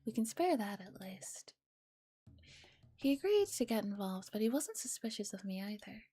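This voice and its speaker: A woman streamer softly reading a visual novel aloud in a relaxed ASMR style and chatting casually with her viewers. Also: medium pitch, normal speed, husky. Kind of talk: soft ASMR reading with casual chat and commentary. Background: music.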